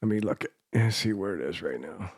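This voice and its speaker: A man's voice: sits low, lively intonation, fairly quick, husky, no room sound, clean studio sound. A man chatting casually as a radio show host, with an informal, teasing way of talking. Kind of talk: casual chat.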